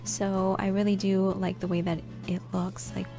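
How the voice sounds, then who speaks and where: A young woman narrates a casual voiceover in a chatty, scatterbrained way, often stopping to correct herself. Her voice sits at an ordinary middle pitch with a slight rasp, since she is coming down with a cold. Clear background music plays under her voice. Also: level tone, moderate pace. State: slightly raspy, getting sick. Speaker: planner vlogger.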